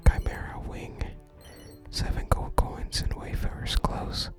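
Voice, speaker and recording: A person whispering soft, unhurried ASMR commentary while playing a video game and reading out its text. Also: soft background music.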